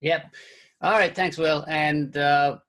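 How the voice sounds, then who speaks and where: A man from Afghanistan telling his life story on a webinar call, earnest and halting with many fillers. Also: fairly high pitch, fairly even intonation, a bit bright. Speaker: webinar guest speaker. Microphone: call or webinar audio.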